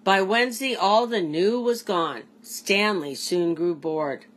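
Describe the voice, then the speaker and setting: A woman, a teacher, reading aloud to children in an expressive storybook style. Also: medium pitch, takes it slowly, slight background noise.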